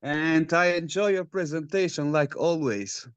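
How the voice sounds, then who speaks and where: A man's voice: on the high side, measured pace, smooth, no echo. A man joining a video call to give brief, friendly greetings and ask a question. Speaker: caller. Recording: dull, dry call audio.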